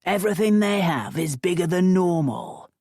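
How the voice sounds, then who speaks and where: A woman's voice: lowish voice, normal speed, dark tone, breathy edge, dry recording, clean studio sound, pitched above the performer's own voice. A woman voicing a grey-haired older man with a pipe who lectures and argues persistently. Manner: lecturing, argumentative.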